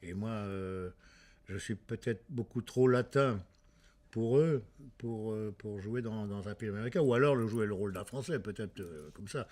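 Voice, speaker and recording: A man from France, an actor speaking in a casual interview, halting with many fillers and restarts. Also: fairly low pitch, a little mellow.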